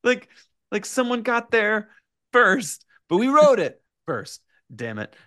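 A man speaking as a guest writer in a casual podcast interview, animated and rambling, halting often and swearing freely. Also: high voice.

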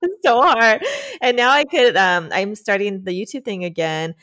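A woman's voice: mid-range voice, expressive, moderate pace, a bit bright. A woman hosting a podcast in casual chat, bubbly and laughing a lot. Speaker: podcast host.

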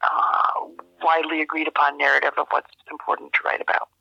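An older woman speaking as an interview guest in a measured, thoughtful way, often searching for her words. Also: low voice, flat delivery, creaky, slightly rough, no echo. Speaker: guest, journalist and journalism teacher. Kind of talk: reflective interview conversation. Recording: dull telephone-like line.

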